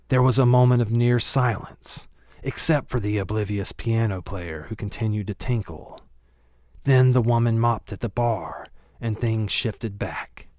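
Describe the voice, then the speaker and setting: A man's voice: fairly low pitch, unhurried, darkish tone, no echo, clean studio sound. A man narrating a novel as a scripted audiobook reading.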